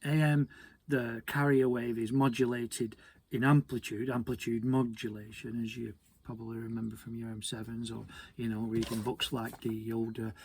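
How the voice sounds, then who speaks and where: British guy doing a casual techy explainer, husky and croaky, kinda halting, repeats himself. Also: medium pitch, little pitch movement, a little mellow. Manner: conversational, hesitant, repeats words. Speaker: hobby radio presenter. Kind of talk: informal technical explainer.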